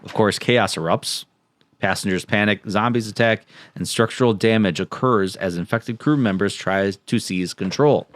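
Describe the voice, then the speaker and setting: A man with a lowish voice speaks in a dry recording with no room sound. He co-hosts a casual podcast, moving between read-out summaries and joking, wry remarks. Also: moderate pace, no room sound. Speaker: podcast co-host.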